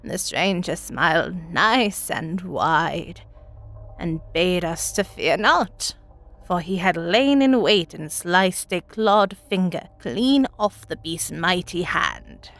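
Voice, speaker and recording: A woman voicing a very elderly village woman, telling a grim tale from her childhood in a folksy, deliberate, dramatic manner. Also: on the low side, expressive, fairly bright tone, full character voice. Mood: wry, ominous. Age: old woman as played. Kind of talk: dramatic first-person storytelling.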